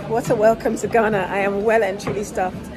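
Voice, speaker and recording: A woman in her thirties vlogging on a trip, excitable and laughing often as she talks to her phone camera. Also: medium pitch, brisk, over loud music. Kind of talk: casual travel vlog chat. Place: busy streets, shops and a car in a city. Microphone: phone held at arm's length. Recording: loud crowd and street noise.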